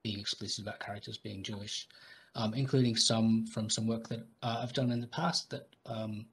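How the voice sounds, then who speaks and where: A man's voice: fairly low pitch, fairly even intonation, normal speed, slightly rough. A young adult man speaking on a video call with a headset, hesitant, with many ums. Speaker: panelist. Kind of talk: video call panel discussion.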